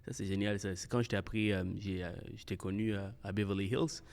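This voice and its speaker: A man hosting a podcast. He talks casually and haltingly, restarting his sentences and slipping in English words. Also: fairly low pitch, fairly even intonation, darkish tone. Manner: halting and hesitant, many restarts, laughs often, mixes in English. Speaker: podcast host.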